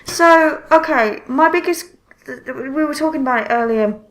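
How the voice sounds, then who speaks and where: A woman's voice: high voice, normal speed, poor audio. A woman hosting a podcast in casual chat, telling things expressively with frequent self-interruptions and exclamations. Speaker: podcast host.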